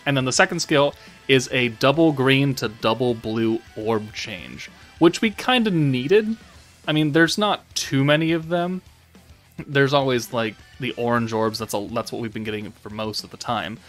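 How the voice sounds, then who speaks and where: A man's voice: mid-range voice, normal speed, faint music behind. A man giving casual, informal game commentary as a channel host, rambling and thinking aloud as he goes.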